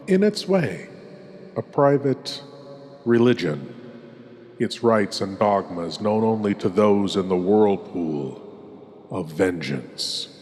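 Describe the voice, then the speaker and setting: A man as a courtly, sinister horror host, narrating theatrically with ominous dramatic pauses. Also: lowish voice, darkish tone. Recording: long cavernous reverb.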